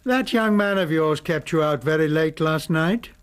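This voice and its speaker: An actor playing a menacing, coldly polite English blackmailer in a radio drama, formal and smoothly condescending. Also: male voice, on the high side, moderate pace, lightly acted. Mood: condescending menace. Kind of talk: British radio drama acting.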